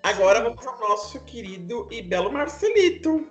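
A man's voice: extremely high pitch, normal speed, reverberant, soft background music. A man with a Brazilian accent chatting casually and expressively as a podcast host, telling stories. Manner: expressive, animated. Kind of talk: casual chat, storytelling.

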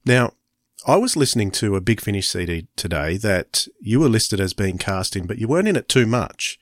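A man's voice: lowish voice, sing-song, normal speed, no echo, studio quality. A man hosting a casual interview, conversational and prompting his guest with questions. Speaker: podcast host, interviewer.

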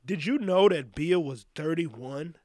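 A man's voice: a little high, lively intonation, a little slow, a little mellow, no echo, studio quality. A man in his thirties co-hosting a casual podcast chat, teasing and using laid-back slang. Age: 30s. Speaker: podcast co-host.

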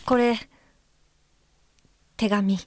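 An actress playing a considerate, observant guide helper in an audio drama, speaking gently, politely and with care. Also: female voice, on the high side, fairly even intonation, drawn-out words. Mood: considerate, probing. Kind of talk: Japanese audio drama acting, reading aloud and describing.

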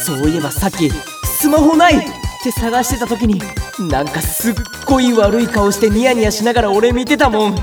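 A man acting an ordinary, excitable young big-brother type in a Japanese audio drama, chatty and comically flustered, with first-person narration. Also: very high voice, a little fast, hardly any pauses, recorded from a distance, over music. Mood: flustered comic.

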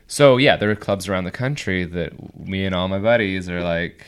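A man hosting a casual interview, rambling and restarting his sentences with quick laughs. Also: low voice, clean tone. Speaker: podcast host and comedian.

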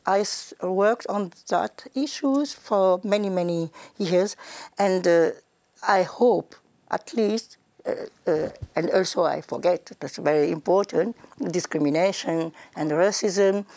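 A woman's voice: mid-range voice, a little mellow. Woman speaking hesitant non-native English. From Senegal. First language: Wolof or other languages of Senegal. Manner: hesitant, many fillers and restarts, laughs readily. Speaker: Member of the European Parliament, guest.

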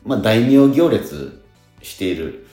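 A middle-aged man hosting a radio show, chatting casually and telling things in halting pieces. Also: on the low side, sing-song, mellow, roomy sound, soft background music. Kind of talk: casual chat. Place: small tatami dressing room at a theatre.

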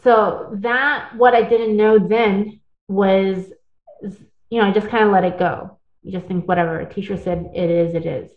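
A woman telling her own story in a casual interview, earnest and talkative, with tag questions and restarts. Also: mid-range voice. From born in the United States, partly raised in Taiwan. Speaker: guest. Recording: echoey, phone-like audio.

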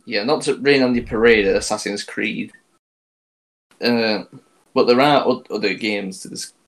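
A man's voice: lowish voice, moderate pace, rough recording. A man co-hosting a podcast in casual chat, who steers the talk back on topic. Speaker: podcast co-host.

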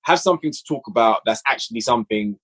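A man guesting on a podcast, chatting casually with a relaxed, encouraging, filler-heavy manner. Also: medium pitch, fast talker, a little hiss or hum. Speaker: podcast guest, audio drama maker. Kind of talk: casual chat.

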